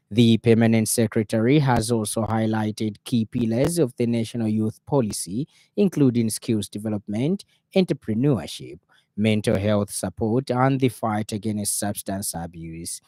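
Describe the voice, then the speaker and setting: A man with a Zambian accent reading a radio news bulletin in a formal, measured way. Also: fairly low pitch, warm tone, no echo.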